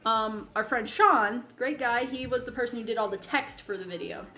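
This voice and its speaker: A woman co-hosting a casual podcast, telling anecdotes in an animated way and often interrupting herself. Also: fairly high pitch, normal speed. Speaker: podcast co-host. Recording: roomy echo, music playing behind.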